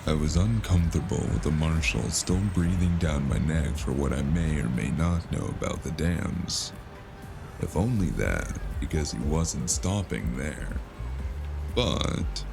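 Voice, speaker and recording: A man's voice: a bass voice, creaky, heavily acted. A man playing a weary, sardonic tough fixer for hire in a cyberpunk audio drama, with a dry, laconic delivery. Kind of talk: scripted audio drama with hardboiled narration.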